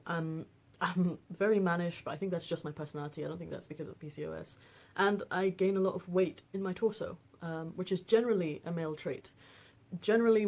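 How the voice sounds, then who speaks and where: A woman in her twenties with a deep voice, talking casually on a personal vlog with lots of fillers and restarts. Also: darkish tone. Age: 20s. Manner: rambling, frequent fillers and restarts. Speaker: vlogger.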